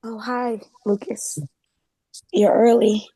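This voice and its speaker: A woman's voice: fairly high pitch, level tone, slow, dark tone, husky, no room sound, heavily acted. A woman in a table read playing a gossipy, conversational female office assistant in a drama. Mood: chatty, flustered. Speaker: actor in a script table read. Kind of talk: dramatic script reading.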